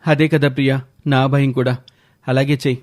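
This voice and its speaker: A man playing an exasperated adult son who questions indignantly in an animated story. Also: mid-range voice, normal speed, voice pushed down, lightly acted. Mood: concerned. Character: exasperated adult son scolding his mother. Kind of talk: animated moral story dialogue.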